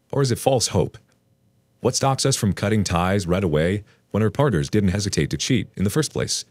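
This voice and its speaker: A man reading an online post aloud as a storytelling narrator, in an expressive read. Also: low-pitched, dry recording.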